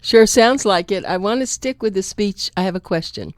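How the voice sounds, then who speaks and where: A woman's voice: medium pitch, normal speed, no echo. Radio host woman, dry studio sound. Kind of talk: radio interview.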